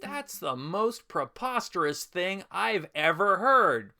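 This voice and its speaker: A man's voice: high-pitched, very animated, takes it slowly, gravelly, loud music behind the voice, heavily acted. A man playing a cranky, indignant husband, pompous and blustering, in a comedy audio drama. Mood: indignant denial.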